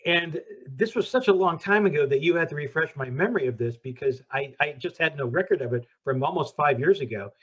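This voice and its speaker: A man's voice: on the high side, animated, a little fast, few pauses, a bit bright, poor audio. Upbeat man hosting an interview. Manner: upbeat, conversational questioning. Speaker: host, founder of a search service.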